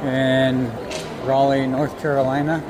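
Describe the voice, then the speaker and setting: A man's voice: mid-range voice, slow. A man casually narrating to a phone camera as he walks through a large department store, asking short plain questions. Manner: relaxed, plain-spoken, asks short questions. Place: large indoor department store in a shopping mall. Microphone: handheld phone camera. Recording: busy store noise behind.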